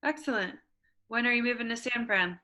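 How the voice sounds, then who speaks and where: A woman hosting an online workshop over a video call, chatty and relaxed with casual fillers. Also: fairly high pitch, brisk. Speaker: workshop host.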